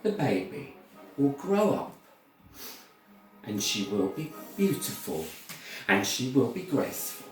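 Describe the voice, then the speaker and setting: A man's voice: mid-range voice, sing-song, soft background music. Man as a solemn good fairy, very echoey. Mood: solemn, protective. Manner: slow, halting, solemn declarations. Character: gentle, protective good fairy, female. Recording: very echoey room, far from mic.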